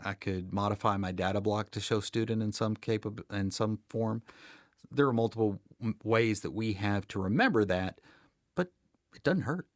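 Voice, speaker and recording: A man's voice: on the low side, normal speed, no room sound. An American man speaking conversationally as an expert podcast guest, in an emphatic, plain-spoken way with dry humor. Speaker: guest air traffic controller.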